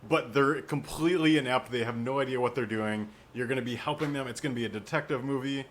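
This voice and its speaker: A man on a podcast panel, pitching and debating ideas in casual conversation. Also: fast talker. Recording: roomy sound with faint background noise.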